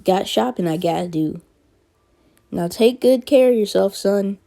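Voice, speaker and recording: A woman's voice: mid-range voice, measured pace, vocal fry, dark tone, voice pushed up. A woman voicing a kindly, motherly older female neighbour who talks in a folksy, welcoming way.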